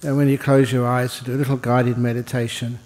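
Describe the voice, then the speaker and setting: Male meditation teacher, medium pitch, soft-edged mellow tone; informal, unhurried guidance with light humour. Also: level tone, big-room sound, low-quality recording. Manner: unhurried informal guidance with pauses, gently humorous. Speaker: Buddhist monk, meditation teacher. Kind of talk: guided meditation. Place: indoor meditation hall.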